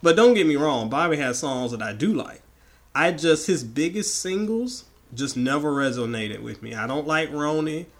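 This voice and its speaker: A man from the American South co-hosting a podcast, hoarse and raspy from the flu, joking and opinionated in casual chat. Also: fairly high pitch, animated, normal speed. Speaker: podcast co-host.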